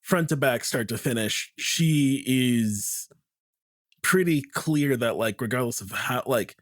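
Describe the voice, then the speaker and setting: A man's voice: mid-range voice, slow pace, no room sound, clean studio sound. A man who is a guest on a podcast, talking in a thoughtful, rambling way in casual chat, with lots of "like".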